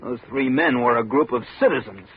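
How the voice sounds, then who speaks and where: A sardonic small-town architect speaks with weary, theatrical eloquence. His voice is markedly rough and breathy, heard through the thin sound of a vintage radio drama. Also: mid-range voice, fairly quick. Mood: bitter, wounded. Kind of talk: 1950s radio drama acting. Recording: narrow muffled vintage radio recording.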